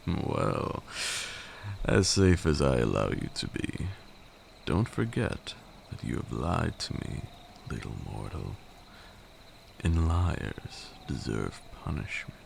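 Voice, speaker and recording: A man's voice: big pitch swings, a little hiss or hum, heavily acted. A man playing an ancient, brooding vampire lord in an intimate ASMR roleplay, seductive and menacing, with an archaic, commanding way of talking. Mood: teasing menace. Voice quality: deep gravelly voice, breathy at times. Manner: archaic, deliberate, teasing and commanding.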